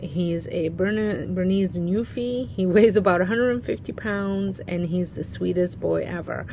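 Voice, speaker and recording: Chatty woman with a low, dark voice. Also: a little slow, gravelly, slight background noise. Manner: chatty, rambling, with frequent fillers and restarts. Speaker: home organizing vlogger. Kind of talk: casual walkthrough vlog. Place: cluttered basement craft room. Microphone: phone camera, handheld and on a stand.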